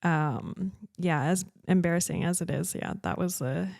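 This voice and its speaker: A young woman from the States talks casually about racing, her voice a little low with a gravelly edge. She hesitates often and fills her pauses with "um" and "like", and the sound is dry and close. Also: a little mellow, no room sound. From United States. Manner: hesitant, many fillers, searching for words. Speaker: racing driver, guest. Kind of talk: casual interview chat.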